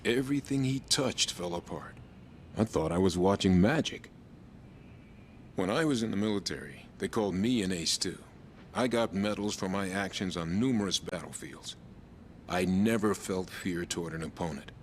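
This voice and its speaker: Casting: man playing a humbled, candid former flying ace, deep voice, game monologue over faint rumble. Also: normal speed, close to an everyday voice. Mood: rueful. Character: humbled male former ace pilot confessing his fear. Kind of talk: dramatic interview-style monologue in a video game.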